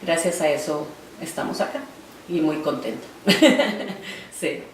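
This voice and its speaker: A Colombian woman in her forties, an artist reflecting in an oral history interview with a halting, filler-heavy delivery. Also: low voice. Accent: Colombian Spanish. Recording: roomy, distant mic, faint noise.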